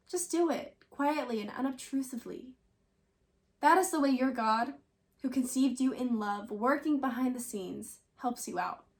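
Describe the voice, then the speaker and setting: High-voiced woman reading scripture. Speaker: scripture reader at a church.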